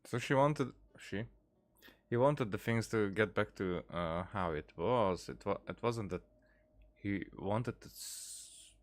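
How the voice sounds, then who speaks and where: A man giving casual gameplay commentary and reading dialogue aloud, halting as he thinks out loud. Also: lowish voice. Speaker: streamer, let's-player.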